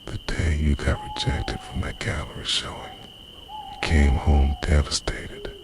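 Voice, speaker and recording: Very deep, creaky, hushed devoted boyfriend, acted by a man. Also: wildly swooping pitch, background noise, heavily acted. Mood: tender, intense. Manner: hushed, slow, intimate, often slipping into a whisper. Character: obsessive, devoted boyfriend who secretly time-travels, confessing to lover. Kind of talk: ASMR roleplay.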